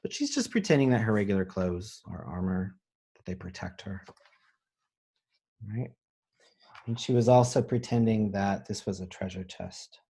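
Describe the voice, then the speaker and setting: A man, an elementary school teacher, reading a picture book aloud expressively and pausing to explain it in simple words for children. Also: on the low side, lively intonation, gravelly, mellow, husky.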